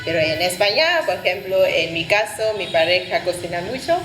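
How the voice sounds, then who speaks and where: A woman from Vietnam speaking Spanish as a second language, answering interview questions hesitantly, pausing on fillers as she searches for words. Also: lowish voice, expressive, over music. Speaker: interview guest. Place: Barcelona. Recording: roomy with background noise.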